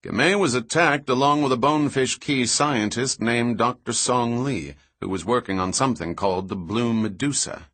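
A man voicing a steady, practical male engineer in an audiobook, with a dry, matter-of-fact manner. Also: medium pitch, moderate pace, hardly any pauses, no room sound, voice pushed up, lightly acted. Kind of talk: audiobook dialogue reading.

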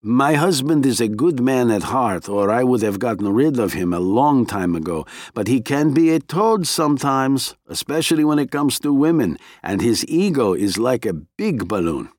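A man's voice: mid-range voice, expressive, moderate pace, few pauses, a little mellow, dry recording, clean studio sound, pitched above the performer's own voice, natural voice. A man voicing a shrewd, frank married woman with a confiding, teasing manner in an audiobook. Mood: amused, complimenting. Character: Maria, warm, shrewd, frank Russian wife and scientist.

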